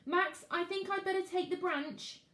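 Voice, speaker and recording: A woman's voice: very high voice, fairly even intonation, normal speed, fairly bright tone, voice pushed up. A woman reading aloud, voicing a sensible, cautious boy who speaks in an earnest, questioning way with a wry edge. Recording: roomy echo.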